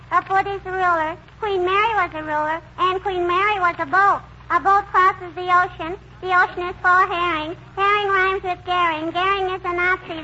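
A woman's voice: extremely high pitch, sharp tone. A woman playing a precocious child who recites in a sing-song way, in 1940s radio comedy style. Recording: muffled old 1940s radio recording, faint noise.

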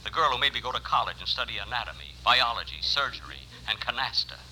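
A man's voice: mid-range voice, lively intonation, fast, sharp tone. An actor playing a surgeon who narrates his romance in a wry, mock-dramatic radio comedy style. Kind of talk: scripted old-time radio comedy narration. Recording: noisy old radio recording.

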